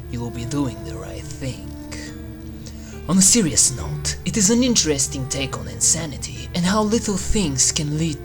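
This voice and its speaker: A narrator reading a scripted list review with drawn-out, theatrical phrasing and dry humour, as a video host. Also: mid-range voice. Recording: music under the voice. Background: eerie game soundtrack music.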